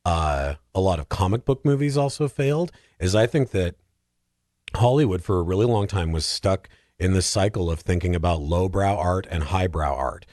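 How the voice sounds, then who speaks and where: Podcast guest, male, lower register, dry close sound, animated and opinionated casual talker. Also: normal speed, no echo. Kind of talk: casual film discussion.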